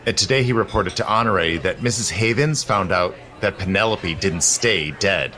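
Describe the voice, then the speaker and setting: A man's voice: sits low, moderate pace, bright, forceful tone. An actor playing a grave, urgent male protector in an audio drama, earnest and matter-of-fact. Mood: grave explaining.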